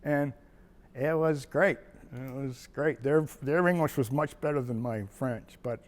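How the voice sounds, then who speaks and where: Older man, casual reminiscing, rambling, chuckles often, middle pitch. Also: a little slow. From Maine, USA. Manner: rambling, chuckling storyteller with frequent restarts. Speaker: retired wildlife biologist. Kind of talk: reminiscing personal story.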